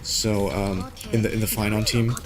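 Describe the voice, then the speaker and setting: A man's voice: fairly low pitch, level tone, normal speed. A man giving casual, rambling, opinionated commentary as he streams a video game. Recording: game music behind the voice. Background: video game music.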